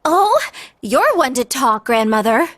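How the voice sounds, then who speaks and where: Game casting: spirited young woman, high pitch, animated, sincere. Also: measured pace, exaggerated voice. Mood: teasing. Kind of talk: video game cutscene acting.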